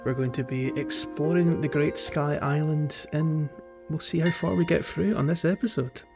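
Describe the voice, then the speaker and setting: A man co-hosting a video game podcast in casual chat, talking in a wordy, halting way and repeating words. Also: on the high side, fairly even intonation, gravelly, darkish tone, muffled recording, soft background music. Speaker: podcast co-host.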